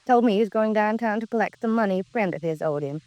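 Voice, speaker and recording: A middle-aged housewife, played by an actress in a 1950s radio crime drama. Her voice sits at an ordinary female pitch, plain and anxious in manner. The sound is dry, with very little room around her. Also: fast talker, dark tone, dry recording, close to an everyday voice. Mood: puzzled, polite. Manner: plain-spoken, fretful.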